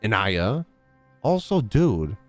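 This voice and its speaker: A man's voice: on the low side, very animated, slow pace, soft background music. A man giving casual, blunt, slangy gameplay commentary and talking back to the characters, close on a streaming mic. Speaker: game streamer.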